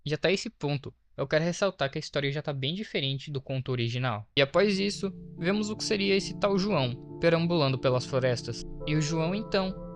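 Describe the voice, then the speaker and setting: Higher-voiced Brazilian man over background music. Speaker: video host, narrator. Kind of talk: horror story recap commentary. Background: faint to clear background music.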